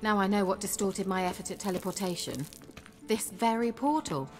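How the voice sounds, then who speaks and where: A woman's voice: medium pitch, lively intonation, normal speed, a little mellow, faint music behind, natural voice. A woman playing a confident, flirtatious sorceress with a formal, self-assured manner in a video game. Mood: composed. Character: confident, haughty, flirtatious sorceress guiding a witcher.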